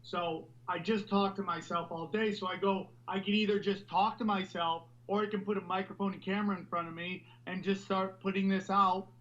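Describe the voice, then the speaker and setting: A man hosting a podcast, talking loudly and animatedly in a rambling, casual way. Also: high-pitched, little pitch movement, normal speed, hardly any pauses, highs cut off. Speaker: podcast host.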